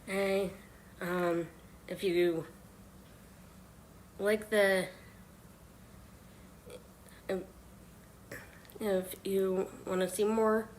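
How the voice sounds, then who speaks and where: A hoarse woman chatting softly on a live stream while she knits, with many pauses and fillers. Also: medium pitch, little pitch movement, slight background noise. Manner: halting, many ums and uhs. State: hoarse from illness. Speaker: knitting livestreamer. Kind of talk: soft-spoken live stream chat.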